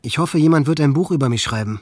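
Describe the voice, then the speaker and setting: A man's voice: on the high side, quick pace, a little mellow, no echo, clean studio sound, close to an everyday voice. An actor playing a bitter, self-important teenage boy brooding profanely over his diary in a radio drama.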